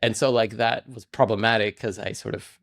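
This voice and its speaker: Man in his forties from Russia, gravelly voice, rambling podcast guest, lots of "like". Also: on the low side, fairly even intonation, a little fast, a bit bright. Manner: rambling, fills speech with frequent "like". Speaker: podcast guest, game programmer. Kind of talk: casual interview chat.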